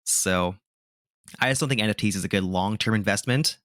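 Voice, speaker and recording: Low-voiced chatty podcast host man. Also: fairly quick, fairly bright tone, dry recording, studio quality. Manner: chatty and opinionated, many filler words. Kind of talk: casual chat.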